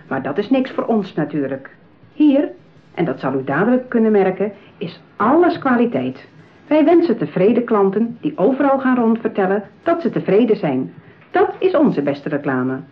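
An actress playing a smooth, patronising saleswoman in a radio play, with polished, persuasive patter. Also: medium pitch, very animated, moderate pace, close to an everyday voice. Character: smooth, patronising, eager saleswoman at a matchmaking agency. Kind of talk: radio drama acting. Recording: tinny, narrow-band old radio play.

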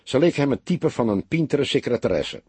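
A man narrating an audiobook story from a script in a measured, expressive way. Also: mid-range voice, breathy edge, no room sound.

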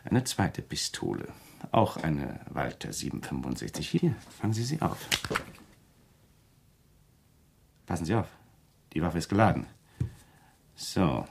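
An actor playing a deranged, coolly menacing killer in a German radio crime drama, lecturing insistently and deliberately about his mission with a husky voice. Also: rumbling low, sing-song, a little mellow, slight background noise, exaggerated voice.

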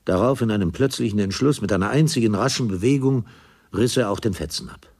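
An actor serving as the grave narrator of a radio play, reading literary prose in a measured manner. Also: male voice, on the low side, a little mellow, husky. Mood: grave, tense.

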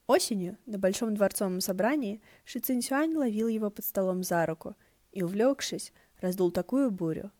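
A woman reading fan fiction aloud as an audiobook narrator, in an expressive storytelling style. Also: medium pitch, no echo.

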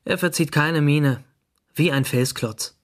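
A man's voice: on the high side, unhurried, lightly acted. An actor playing a nonchalant, understated seventeen-year-old boy in a radio drama. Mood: offhand, dry.

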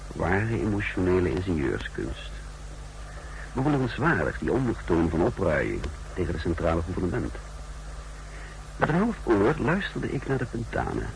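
An actor playing a secretive, scornful spy who recounts his mission in a measured, deliberate way in a 1960s radio drama. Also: low voice, gravelly, full character voice. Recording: noisy, humming 1960s radio recording.

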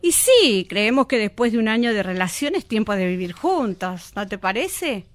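A woman's voice: medium pitch, big pitch swings, moderate pace, no echo, natural voice. A woman playing a busy, determined mother and daughter in a radio drama, with an Argentine accent. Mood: firm, worried. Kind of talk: amateur student radio drama acting.

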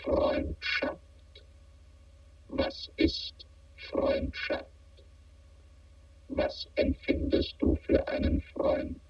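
An actor playing a detached alien intelligence that asks slow, probing questions through a synthetic filter in a radio play. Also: completely flat delivery, heavily acted. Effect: high synthetic voice filter, radio-like. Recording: muffled, band-limited radio sound.

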